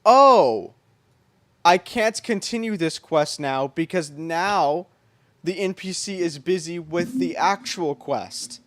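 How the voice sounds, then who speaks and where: A man's voice: high-pitched, slow, fairly bright tone. A man chatting casually on a gaming livestream in a playful, self-deprecating way. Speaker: game livestreamer. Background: game music.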